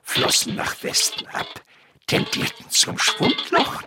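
An actor playing an excitable, eccentric eyewitness giving a halting, insistent report in a radio drama. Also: male voice, over loud music, lightly acted. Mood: excited.

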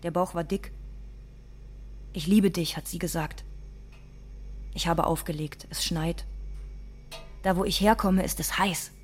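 Bitter, frightened young migrant cleaner, low-voiced. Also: female voice, fairly even intonation, unhurried, long pauses. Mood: bitter, weary. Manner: terse, insistent repetition. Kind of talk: radio drama monologue in short, stark sentences.

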